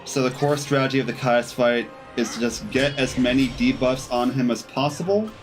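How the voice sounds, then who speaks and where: A man's voice: mid-range voice, moderate pace, faint music behind, rough recording. A man giving casual gameplay commentary as a streamer, half talking to himself. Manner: talks to himself and the game. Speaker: game streamer.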